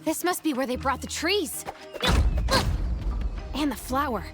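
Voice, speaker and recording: A woman playing a plucky, upbeat young adventurer in a comedic animated game, with a bubbly manner. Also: high voice, a little slow. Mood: eager. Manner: bubbly, exclamatory. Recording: music behind the voice.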